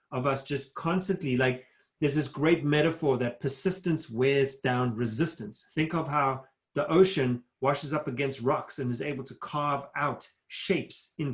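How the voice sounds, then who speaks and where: A middle-aged man from Africa, giving an earnest, emphatic motivational talk as a guest speaker over a video call. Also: mid-range voice. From South Africa. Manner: earnest, emphatic, builds points in lists. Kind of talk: motivational talk at a virtual town hall.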